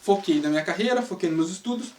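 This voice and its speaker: A man's voice: high-pitched, animated, fast, a little mellow, slight background noise. Brazilian man talking in a roomy space. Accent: Brazilian Portuguese. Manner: rambling, rhetorical questions, frequent tag questions. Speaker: channel host, English teacher. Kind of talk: casual spoken monologue. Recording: roomy sound, mic at a distance.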